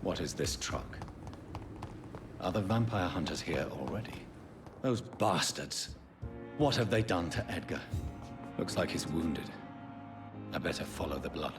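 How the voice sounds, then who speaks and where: A man's voice: low voice, expressive, a little slow, pauses often, over music. A man playing an earnest, troubled vampire doctor in a video game, speaking with urgency. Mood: grim, worried.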